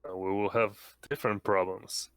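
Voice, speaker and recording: A man's voice: lowish voice, level tone, moderate pace, a bit bright, clear, resonant tone, dry recording. A man playing a tabletop game, talking casually and searching hesitantly for his words.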